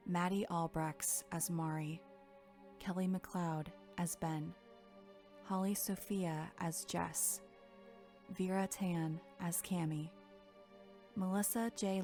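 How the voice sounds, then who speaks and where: A woman's voice: fairly low pitch, a little mellow. A woman reading scripted end credits as an announcer for an audio drama.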